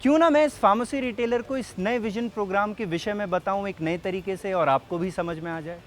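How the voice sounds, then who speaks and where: A man's voice: high voice, brisk, close to an everyday voice. A man playing an earnest, persuasive sales rep, explaining things directly to the listener in a scripted training film. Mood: explanatory, upbeat. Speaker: presenter and sales representative. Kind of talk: scripted training film narration and role-play.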